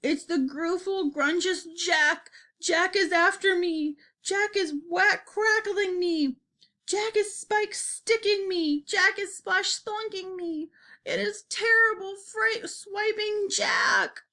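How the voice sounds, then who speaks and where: A woman's voice: mid-range voice, big pitch swings, takes it slowly, few pauses, bright, forceful tone, full character voice. A woman voicing a huge brutish giant from a children's storybook, screeching, bellowing and pleading in terror. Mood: panicked. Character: huge brutish man-eating giant, terrified in nightmare. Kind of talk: dramatized children's storybook reading.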